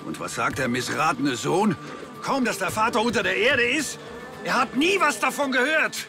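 An actor playing a bitter, defiant beekeeper suspected in a TV crime drama, condescending and indignant. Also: male voice, high voice, sing-song, normal speed, music in the background. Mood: bitter, angry. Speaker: murder suspect.

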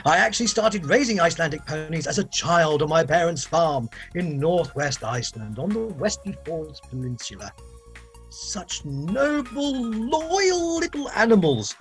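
A man's voice: on the high side, very animated, measured pace, soft background music. An older actor playing an eccentric male inventor who rambles and gushes, speaking over a video call. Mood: gushing. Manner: rambling, gushing, sing-song emphasis. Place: home room, video call.

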